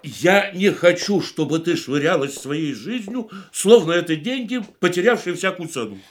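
A man's voice: sits high, expressive, normal speed. An actor in a radio play playing a wounded, bitter man who pleads with his departing lover, brooding and reproachful. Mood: urgent, reproachful. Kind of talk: Russian radio play acting.

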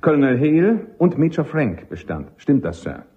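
An actor playing a principled, stubborn army captain and defence lawyer in a radio drama, precise, insistent and formally respectful. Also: male voice, on the high side, sing-song, normal speed. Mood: insistent, probing. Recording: dull, muffled old radio recording.

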